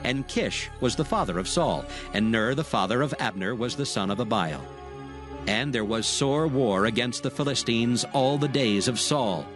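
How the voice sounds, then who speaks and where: A man's voice: medium pitch, animated, normal speed, music in the background. A man narrating a dramatized scripture recording as a solemn storyteller.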